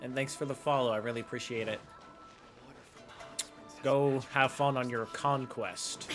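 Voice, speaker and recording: A man's voice: mid-range voice, fairly even intonation, measured pace, fairly bright tone, soft background music. Chatty male game streamer. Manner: chatty, rambling. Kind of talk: casual live stream commentary.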